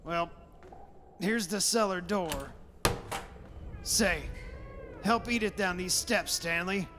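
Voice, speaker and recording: A man's voice: sits high, slow. A man acting the part of a brash, bossy, middle-aged father in a radio play, blunt and scoffing about superstition. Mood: brisk, bossy. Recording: echoey hall, long reverb, background hiss.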